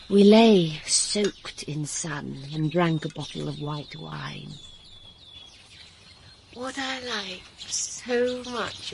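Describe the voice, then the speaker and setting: An actress playing an honest, spirited young woman in a radio drama, breathy and unhurried. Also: on the low side, background noise. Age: young adult. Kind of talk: radio drama acting, partly narrating.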